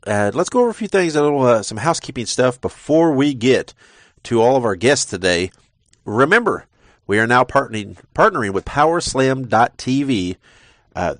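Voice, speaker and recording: A man's voice: medium pitch, lively intonation, moderate pace, no echo, studio quality. A man hosting a wrestling podcast in a rambling casual way, congested from a sinus infection. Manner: rambling, with frequent uh fillers and repeated words. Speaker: podcast host.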